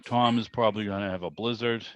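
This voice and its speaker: A man's voice: fairly low pitch, fairly even intonation, quick pace. An American man hosting an online club meeting in a chatty, informal, meandering way, with casual welcomes and questions. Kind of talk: casual spoken welcome and interview. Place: indoors on a video call. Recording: muffled, roomy video-call audio.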